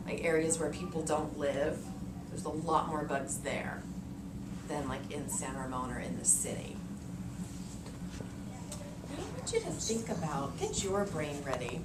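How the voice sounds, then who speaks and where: A woman's voice: sits low, lively intonation, a little slow. A woman in her thirties with an American accent, teaching young children in a read-aloud lesson, thinking aloud and checking in with short questions. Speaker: elementary school teacher. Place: elementary classroom, indoors. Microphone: camera a few meters away. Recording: roomy, distant mic with clear background noise.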